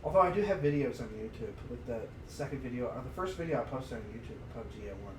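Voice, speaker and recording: A man chats casually as a podcast co-host, feeling his way through sentences with hesitant phrasing. His mid-pitched voice sits back from the mic in an echoey room. Clear noise runs behind him. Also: expressive, fast. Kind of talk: casual chat about video games. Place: indoor room, live-streamed podcast recording. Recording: roomy, distant mic, clear background noise.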